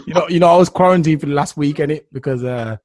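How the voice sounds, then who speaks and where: Guy hosting a podcast, kinda high voice, laughs a lot, dry close sound. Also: darkish tone, dry recording. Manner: laughing, quick to interrupt. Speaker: podcast host. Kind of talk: casual chat.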